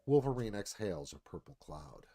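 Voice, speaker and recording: A man's voice: fairly low pitch, very animated, normal speed, warm tone. Man narrating a radio theatre screenplay. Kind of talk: scripted narration, screenplay stage directions.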